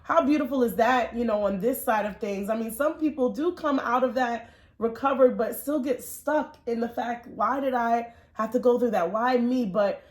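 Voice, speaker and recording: Higher-voiced woman host in a roomy space. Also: moderate pace. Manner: encouraging, frequent affirming interjections. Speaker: podcast host. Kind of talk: supportive interview conversation.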